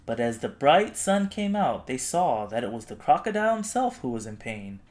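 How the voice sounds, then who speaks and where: A man narrating a children's storybook expressively, with dramatic, deliberate phrasing. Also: medium pitch, very animated, darkish tone. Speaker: storybook reader.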